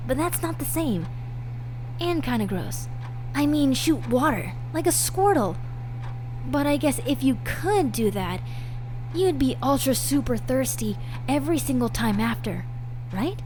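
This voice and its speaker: A woman plays a friendly young monster girl in an intimate audio roleplay, with a fairly high voice. She rambles on in a shy, self-effacing and playful way, talking straight to the listener. There is clear noise behind the voice. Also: lively intonation, unhurried. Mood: playful, chatty.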